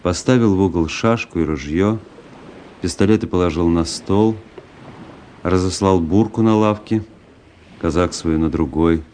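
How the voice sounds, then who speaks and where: Radio drama narrator: reflective officer, deep and dark-toned, measured literary delivery. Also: male voice, slight background noise. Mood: pensive, uneasy. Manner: pensive, measured storytelling. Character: reflective army officer recounting his travels.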